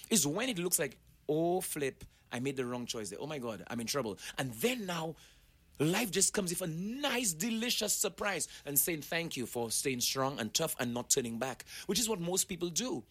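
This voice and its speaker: Husky, lively man in his forties. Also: fairly high pitch. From Saint Lucia. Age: 40s. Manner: preachy, emphatic, addresses listeners directly. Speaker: guest, motivational author. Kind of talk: animated motivational talk.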